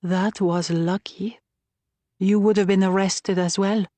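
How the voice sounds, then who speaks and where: A woman's voice: medium pitch, fairly even intonation, slow pace, mellow, dry recording, voice pushed up, natural voice. A woman voicing a stern, guarded female resistance leader in a wartime audiobook, terse and questioning in manner. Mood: tense, guarded.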